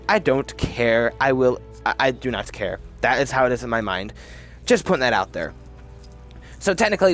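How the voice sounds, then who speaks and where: A man hosting a casual, opinionated commentary video, talking in a rambling, emphatic way and often interrupting himself. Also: fairly high pitch. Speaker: video host.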